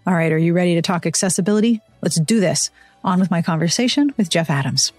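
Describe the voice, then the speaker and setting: A woman's voice: on the low side, fast talker, loud music behind the voice. A woman hosting a podcast, speaking in a conversational, playful way. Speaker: podcast host. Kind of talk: ad reads and casual interview chat.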